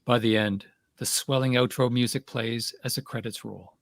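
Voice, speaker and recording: A man's voice: medium pitch, steady tone, normal speed, creaky, no room sound. Man reading stage directions, dry sound. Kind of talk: scripted narration.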